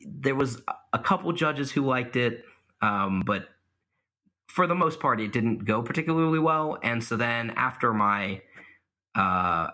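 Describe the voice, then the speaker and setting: Bright-voiced young man, self-mocking. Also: lowish voice. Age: young adult. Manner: self-deprecating, laughs at himself, many fillers. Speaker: guest, mock trial competitor. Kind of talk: casual interview answers.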